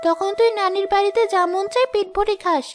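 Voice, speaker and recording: A performer voicing a caring young elder sister, patient and gently reproving, in a cartoon story. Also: very high voice, fairly quick, music in the background.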